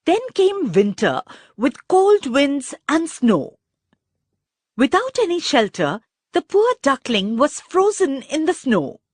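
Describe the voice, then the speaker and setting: A woman narrating a children's story from a script in an expressive, deliberate storybook manner. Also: high-pitched, dry recording, clean studio sound.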